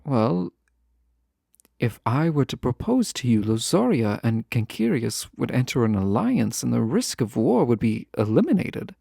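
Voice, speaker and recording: A man playing a lovesick, proud fantasy ruler in a romantic audio drama, speaking formally, earnestly and with hesitant pauses. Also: medium pitch, sing-song, vocal fry, mellow, no echo, studio quality, full character voice. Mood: earnest, hopeful. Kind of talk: scripted romantic audio roleplay, close and intimate.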